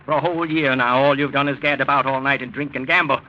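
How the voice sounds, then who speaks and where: An American actor playing a stern, wealthy old grandfather in 1940s radio style, gruff and blunt. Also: medium pitch, steady tone, fast talker, lightly acted. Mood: scolding. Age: older. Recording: noisy muffled 1940s radio recording.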